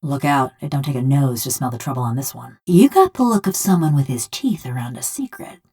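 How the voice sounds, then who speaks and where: A woman voicing a bitter, sardonic construct woman in a video game, a rough rural killer who talks in folksy, ungrammatical, taunting speech. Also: rumbling low, animated, a little fast, warm tone, exaggerated voice. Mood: dry, wry. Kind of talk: video game character dialogue.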